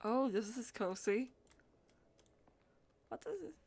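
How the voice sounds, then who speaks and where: Female game streamer, fairly high voice, thinking aloud in low-key murmured asides during live play. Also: sing-song, slow, a little mellow, husky. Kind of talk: live gameplay, reading dialogue aloud.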